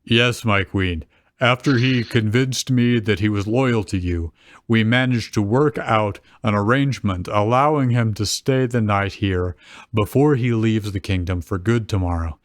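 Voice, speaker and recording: Guy doing a deep slow ice golem henchman, very formal and dutiful, fanfic reading, dry sound. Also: no echo, lightly acted.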